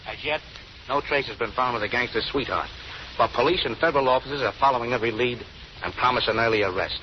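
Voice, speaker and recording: A man's voice: mid-range voice, fast, natural voice. A man playing a deferential, slangy gangster henchman in an old radio drama. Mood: dutiful. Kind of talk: 1930s-40s radio drama acting. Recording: muffled, noisy old radio recording.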